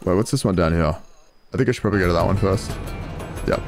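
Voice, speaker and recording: A man's voice: sits low, fast. A young man giving casual, joking commentary while playing a game, thinking aloud and swearing freely. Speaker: gameplay streamer. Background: game music.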